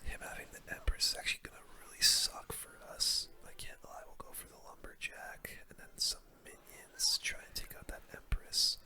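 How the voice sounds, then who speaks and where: A gamer giving ASMR game commentary in a breathy, unvoiced whisper, with casual slang. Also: moderate pace, hardly any pauses, sharp tone. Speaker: gaming video creator.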